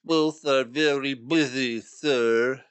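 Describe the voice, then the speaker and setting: A man voicing a polite middle-aged gentleman collecting for charity, formal and courteous. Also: medium pitch, steady tone, slow pace, clear, resonant tone, no echo, natural voice. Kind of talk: storybook reading.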